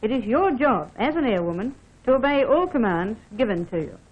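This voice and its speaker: A woman's voice: fairly high pitch, sing-song, fairly quick. A woman officer from Australia reads scripted training film narration, formally instructing the listener directly. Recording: dull band-limited vintage film soundtrack.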